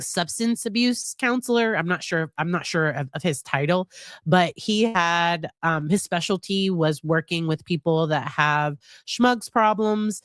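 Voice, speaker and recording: A woman's voice: on the low side, no room sound, studio quality. A middle-aged woman psychologist hosting a live stream, chatting casually with a slightly nasal voice from a cold, often restarting and hedging. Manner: chatty, hesitant, many restarts and laughs. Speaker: psychologist and livestream host.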